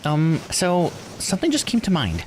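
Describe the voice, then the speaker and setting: A man's voice: fairly high pitch, huge pitch swings, normal speed, a little mellow, slight background noise, voice pushed up, rough recording. A man voicing an eager, thoughtful youngster who tentatively reasons through a mystery in a visual novel. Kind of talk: reading visual novel dialogue aloud. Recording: music under the voice.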